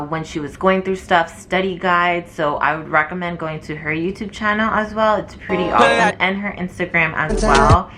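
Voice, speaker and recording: A woman's voice: on the low side, pushed and bright, a little hiss or hum. A young woman, a nursing student, chatting casually to camera with lots of fillers, her voice wearing thin from talking. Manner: chatty and rambling, many fillers. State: losing her voice.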